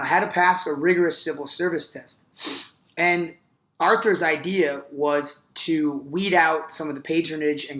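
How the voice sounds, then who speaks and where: Hoarse chatty man teaching history. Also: fairly high pitch, fairly bright tone, echoey room, low-quality recording. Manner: chatty, frequent "uh" fillers, enthusiastic. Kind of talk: casual video lecture.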